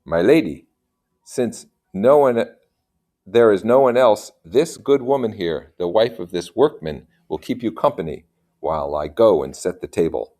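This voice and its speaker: Humble storybook gentleman in love, courtly, earnest, deferential, voiced by a male reader. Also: on the low side, lively intonation, measured pace. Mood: courteous. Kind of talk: storybook character reading.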